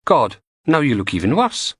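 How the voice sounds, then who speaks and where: A man's voice: medium pitch, huge pitch swings, moderate pace, a bit bright, husky, dry recording, clean studio sound, pitched above the performer's own voice, heavily acted. A man voicing a cheeky, teasing young goth woman who works in forensics. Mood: wry.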